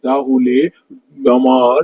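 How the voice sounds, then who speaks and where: A man voicing a cheerful, greedy married street-food vendor in a cartoon story, with an expressive, exclaiming delivery. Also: mid-range voice, extremely slow, no room sound, poor audio. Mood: scheming. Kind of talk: Bengali cartoon story voice acting.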